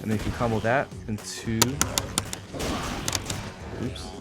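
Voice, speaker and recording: A man's voice: lowish voice, level tone, unhurried. A man giving a casual, informal video game tutorial, explaining things step by step with blunt asides. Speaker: competitive gamer presenting a character guide. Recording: voice over loud game music. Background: video game music.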